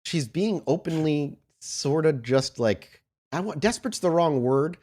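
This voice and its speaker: A man acting as game master, narrating a tabletop horror roleplaying game with descriptive, deliberate storytelling. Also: on the high side, expressive, darkish tone.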